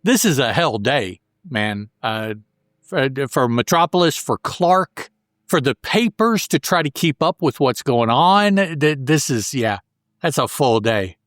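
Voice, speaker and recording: Male co-host, medium pitch, conversational, stumbles and rephrases, close dry recording. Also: sing-song, a bit bright, no room sound. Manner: halting, stammering, rephrasing as he goes. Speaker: podcast co-host. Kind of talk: casual chat.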